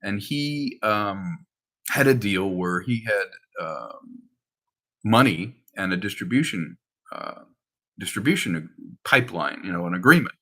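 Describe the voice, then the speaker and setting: A man's voice: lowish voice, expressive, a little slow, pushed and bright. A man talking casually and in a rambling, anecdotal way about his work as a filmmaker. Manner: rambling, anecdotal, acts out quoted dialogue. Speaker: film director and screenwriter. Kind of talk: casual conversational update about projects.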